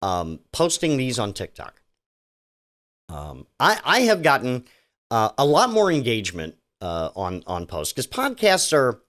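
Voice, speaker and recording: Middle-aged guy doing his solo podcast, sarcastic and rambly, pitch jumps all over the place. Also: wildly swooping pitch, a little slow, a bit bright. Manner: rambling, sarcastic, many restarts and fillers. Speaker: podcast host. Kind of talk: casual solo podcast monologue.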